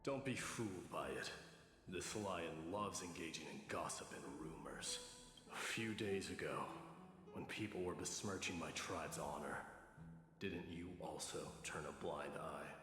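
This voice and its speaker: A man's voice: sits low, unhurried. A man playing a stern, proud warrior lord in a video game, speaking in a curt, scornful, commanding way. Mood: accusing. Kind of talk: video game character acting. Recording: reverberant hall sound, game music behind.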